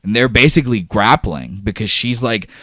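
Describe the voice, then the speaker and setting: A man's voice: fairly low pitch, expressive, moderate pace, bright, forceful tone, no echo, studio quality. A man narrating an improvised tabletop sci-fi horror game as game master, in a casual, profane, dramatic way.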